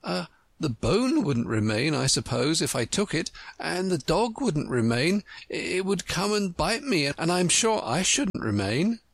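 A reader voicing an earnest, polite, sensible young girl who answers carefully and gravely in a storybook. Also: mid-range voice, very animated, unhurried, no room sound, pitched above the performer's own voice, heavily acted. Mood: hesitant.

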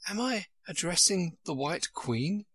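A well-mannered young storybook girl, as a male narrator puts her on. The voice is lifted and lively, civil and a little timid, with a gently practical way of speaking. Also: a little high, measured pace, husky, no room sound, studio quality, voice pushed up, heavily acted. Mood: polite. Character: polite, timid, sensible young girl Alice.

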